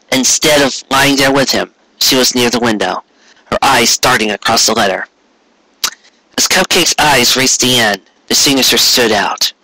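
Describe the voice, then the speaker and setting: A man's voice: medium pitch, pushed and bright, no room sound, low-quality recording. A man reading a story aloud as an amateur narrator, in a theatrical, earnest storytelling style. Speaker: amateur fan fiction reader.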